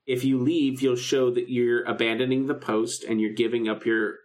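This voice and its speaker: A man's voice: mid-range voice, flat delivery, moderate pace. Enthusiastic geeky man chatting. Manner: enthusiastic, geeky fan talk. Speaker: podcast co-host. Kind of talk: casual chat, recapping a radio drama.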